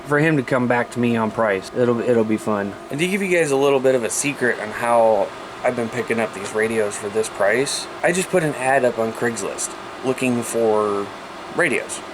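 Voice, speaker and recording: A man's voice: medium pitch, moderate pace, background noise. An American man hosting an informal, unscripted hobby show-and-tell, talking colloquially and thinking aloud as he goes. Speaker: hobbyist video host showing radios.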